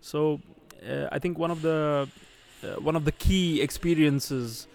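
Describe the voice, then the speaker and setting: A man's voice: a little high, unhurried, creaky, slight background noise. A man interviewing a conference speaker, hesitant with many fillers.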